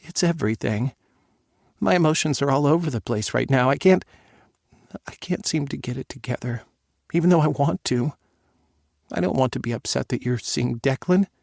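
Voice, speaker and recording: A man voicing a tearful, apologetic young adult daughter, speaking in a soft, shaky, pleading way. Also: mid-range voice, moderate pace, creaky, pauses often, no room sound, clean studio sound, voice pushed up.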